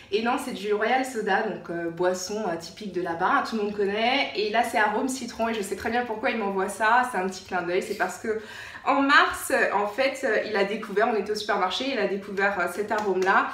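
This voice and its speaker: A woman's voice: medium pitch, brisk, fairly bright tone. French woman vlogging in an echoey room. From France. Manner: informal, repeats words for emphasis. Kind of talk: casual chatty show-and-tell vlog. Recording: roomy echo, distant mic, faint noise.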